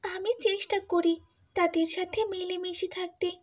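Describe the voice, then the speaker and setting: A woman voicing a meek, sorrowful young stepdaughter in a cartoon, speaking softly and pleadingly. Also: very high-pitched, flat delivery, moderate pace. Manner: soft, pleading, plaintive. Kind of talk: Bengali cartoon dubbing.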